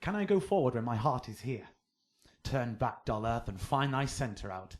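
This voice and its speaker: An actor playing an ardent young romantic lover, delivering measured Shakespearean verse in a radio drama. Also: male voice, mid-range voice, very animated, lightly acted. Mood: dismayed.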